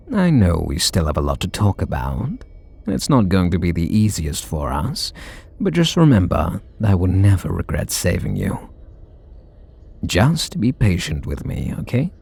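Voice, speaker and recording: A man playing a gravelly, flustered super villain. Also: exaggerated voice. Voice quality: low gravelly husky voice. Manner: soft and intimate, bashful. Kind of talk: ASMR audio roleplay.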